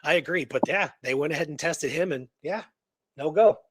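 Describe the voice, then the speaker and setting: A man's voice: on the high side, normal speed. A man hosting a radio show, retelling a historical case in casual, slangy talk with emphatic repeated phrases.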